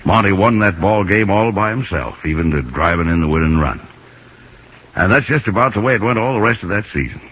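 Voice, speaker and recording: Deep, husky old-radio narrator. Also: male voice, expressive, a little fast, a bit bright. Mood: matter-of-fact. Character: laconic, gruff male baseball pal narrating the story. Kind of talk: 1950s radio scripted narration. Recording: muffled old radio, faint music.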